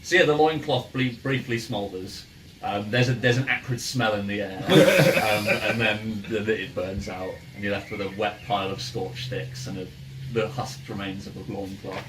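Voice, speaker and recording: A man speaking as game master, narrating a tabletop game in a casual, halting and amused way. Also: fairly low pitch. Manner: halting, amused, chuckly delivery. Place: indoor room, in-person game session. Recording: distant mic, noisy room ambience.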